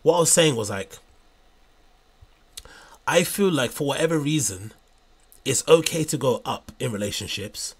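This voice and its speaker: Husky-voiced man rambling on a stream. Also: mid-range voice, lively intonation, normal speed, pauses often. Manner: rambling, hesitant, many fillers. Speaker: streamer reacting to clips. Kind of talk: casual livestream commentary.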